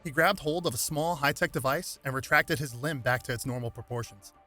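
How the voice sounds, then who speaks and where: A man's voice: medium pitch, fairly even intonation, brisk, sharp tone, soft background music. A man reading a superhero story aloud as scripted dramatic narration.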